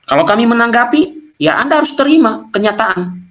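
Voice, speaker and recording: A man with a Nias accent from Indonesia giving a combative apologetics talk straight to his viewers, slowing down to press his points. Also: very high voice, sing-song, fairly bright tone. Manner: combative, addresses viewers directly, slows to stress points. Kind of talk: preaching-style video lecture to viewers.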